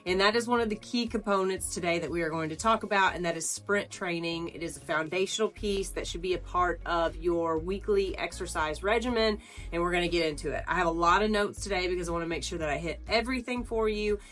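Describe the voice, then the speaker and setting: A woman's voice: on the low side, faint music behind. A woman in her forties, a wellness coach, explaining training step by step, slightly nasal as she gets over the flu. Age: 40s. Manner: warm, earnest, explains step by step with pauses. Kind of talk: instructional talk to camera.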